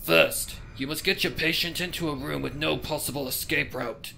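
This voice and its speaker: A man voicing a solemn pony who knows demon lore, speaking gravely and deliberately in a fan fiction reading. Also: on the high side, fairly even intonation, bright, forceful tone, soft background music. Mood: solemn, instructing.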